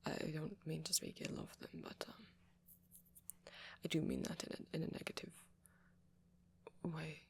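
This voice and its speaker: A shy young vampire played by a man speaks in a posh British accent for an intimate ASMR roleplay. The voice is light for a male, breathy and crackling with creak. He is hesitant and apologetic, stumbling gently over his words. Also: a little high, very animated, a little slow, long pauses, heavily acted. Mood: uneasy, reticent. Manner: hesitant, stammering, soft-spoken, apologetic.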